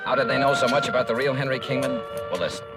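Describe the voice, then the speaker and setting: An actor playing a brisk, wry, seasoned insurance investigator in a 1950s American radio drama, with clipped conversational delivery. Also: male voice, mid-range voice, steady tone, over loud music, natural voice. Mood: wry, explaining. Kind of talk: 1950s radio detective acting. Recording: old radio drama recording, faint noise.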